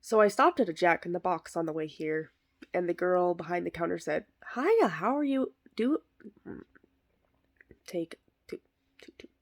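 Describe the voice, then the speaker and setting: A woman voicing a bitter, sardonic middle-aged male horse actor, delivering a comic eulogy monologue in a deadpan, wry way. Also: fairly low pitch, moderate pace. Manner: deadpan, self-deprecating, wry.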